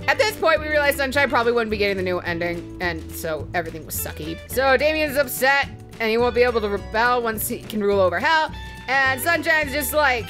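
Very animated, bright-voiced woman storyteller. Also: a little high. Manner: acts out quoted lines, profane, playful. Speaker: storyteller, let's play host. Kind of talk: animated comedic retelling of a game session. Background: background music.

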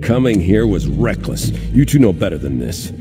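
Gruff, commanding middle-aged male captain for sci-fi game; lowish, very animated, authoritative delivery over loud score. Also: loud music behind the voice. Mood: grave, stern. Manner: authoritative, measured, clipped orders. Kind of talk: video game cutscene acting.

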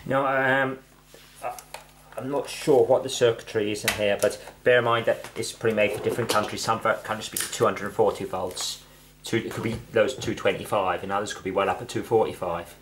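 A man's voice: fairly low pitch, fairly even intonation, a little fast, a bit bright. A man helping in casual chat, explaining things tentatively and hedging as he goes. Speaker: helper in repair video. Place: indoors on a wooden floor. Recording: faintly noisy room, clattering.

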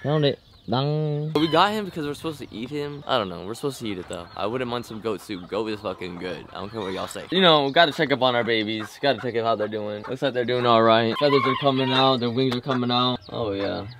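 A man's voice: medium pitch, fast, background noise. Laid-back slangy young man vlogging. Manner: laid-back slangy rambling, frequent swearing. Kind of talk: casual vlog chat. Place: home garage, backyard chicken pens and bedroom. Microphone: handheld camera held close.

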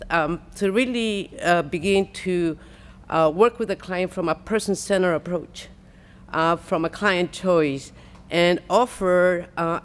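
A woman, a county health official, giving press conference remarks in a deliberate way with many pauses and fillers, at a podium mic in a large hall. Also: lowish voice.

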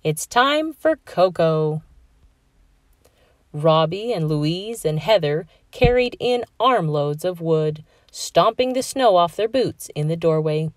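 A woman reading a children's story aloud in a measured, expressive way. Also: lowish voice, no echo. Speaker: storybook reader. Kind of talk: children's read-aloud narration.

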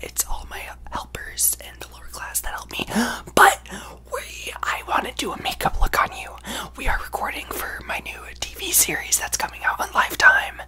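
A young man voicing a brash, self-absorbed young female pop star in a breathy, gushing ASMR whisper close to the microphone. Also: extremely high pitch, huge pitch swings, moderate pace, pushed and bright, exaggerated voice. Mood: boastful, breezy. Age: young adult. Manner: gushing, exaggerated, boastful, rambling. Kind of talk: ASMR roleplay, celebrity parody.

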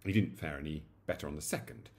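A man in his forties reading a comic short story in a theatrical, expressive way, recorded in a small room at home. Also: very deep voice, fast talker. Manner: theatrical, animated. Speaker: story reader.

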